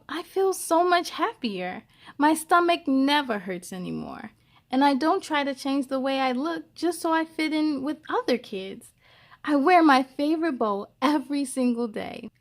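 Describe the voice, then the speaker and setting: High-pitched woman reading aloud to children. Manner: encouraging, speaks directly to young listeners. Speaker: children's story-time host. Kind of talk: expressive read-aloud for children with chatty asides.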